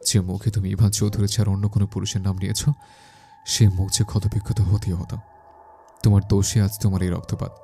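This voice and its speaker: Man as menacing young villain, obsessive, low gravelly voice, mellow tone, audio drama. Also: flat delivery, a little fast, faint music behind. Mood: cold menace. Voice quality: heavy, gravelly voice. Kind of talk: Bengali audio story acting.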